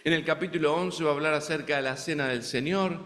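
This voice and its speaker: A man's voice: on the high side, fast talker, fairly bright tone, big-room sound. A man with an Argentine accent, preaching a sermon as a guest preacher in a conversational way, often turning to the congregation with rhetorical questions. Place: church sanctuary in the United States.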